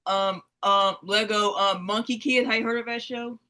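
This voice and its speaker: A young woman hosting a casual interview, speaking haltingly with many fillers and repeated words. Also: medium pitch, fairly even intonation, bright, forceful tone. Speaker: interview host. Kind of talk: casual video-call interview.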